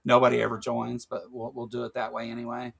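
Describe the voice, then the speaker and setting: Gravelly-voiced man with a Kentucky accent. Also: medium pitch, monotone, fast, a bit bright. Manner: rambling, filler-heavy, joking. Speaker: podcast co-host. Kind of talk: casual livestream chat.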